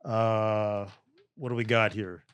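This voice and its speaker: A man's voice: on the low side, fairly even intonation, slow pace, a bit bright, clear, resonant tone, no room sound. Hesitant, agreeable podcast host, man. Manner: hesitant, agreeable, laughs easily, restarts phrases. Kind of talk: casual podcast chat. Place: podcast studio.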